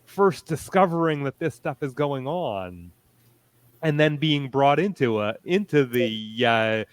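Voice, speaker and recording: A man speaking as a convention panelist, explaining things haltingly and often pausing mid-sentence in a discussion. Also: mid-range voice, lively intonation, smooth, no echo. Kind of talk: panel discussion of audio dramas.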